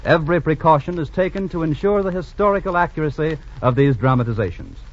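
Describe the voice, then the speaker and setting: A man's voice: on the high side, quick pace. A man making formal scripted announcements in the style of a 1940s radio program. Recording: dull, hissy vintage radio recording.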